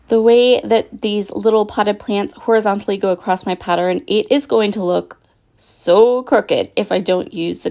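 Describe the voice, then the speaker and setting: A woman's voice: mid-range voice, creaky, a little mellow. A middle-aged woman with a slightly froggy voice, chatting casually and laughing at herself while narrating her scrapbooking process. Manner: chatty, self-deprecating, laughs often. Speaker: scrapbooking channel host. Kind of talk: crafting process voice-over.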